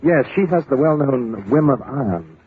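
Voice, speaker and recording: A man's voice: mid-range voice, animated, moderate pace, natural voice. A man playing a courtly, easily flustered English lord in an old-time radio drama, effusive and slightly blustery. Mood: concerned, confiding. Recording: tinny, hissy old radio recording.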